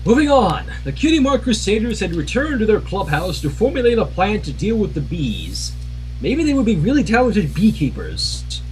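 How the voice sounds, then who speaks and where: A man with a high, very expressive voice reads a story aloud. He mixes narration with casual asides, recorded with faint noise. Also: moderate pace, poor audio. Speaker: fan fiction reader.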